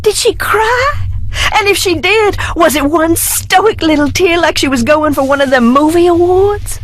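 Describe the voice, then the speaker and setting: An actress playing a bitter, mocking, unstable young heiress in hiding, with theatrical delivery in a video game. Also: female voice, very high voice, unhurried, slight background noise. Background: background music.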